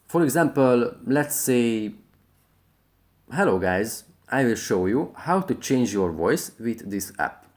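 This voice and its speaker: A man narrating a step-by-step app tutorial as the host. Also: fairly low pitch. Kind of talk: scripted tech tutorial narration.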